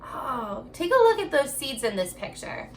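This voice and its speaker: A woman's voice: high voice, sing-song, normal speed, a little hiss or hum. A woman elementary teacher giving a children's reading lesson, encouraging and asking questions as she speaks directly to young pupils. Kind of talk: children's remote reading lesson, alternating slow read-aloud and chatty prompts.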